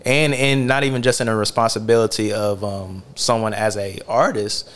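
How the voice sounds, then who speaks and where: A man's voice: on the low side, steady tone, moderate pace, a bit bright. A man hosting a casual interview, easygoing and affirming, with many fillers. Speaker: interview host.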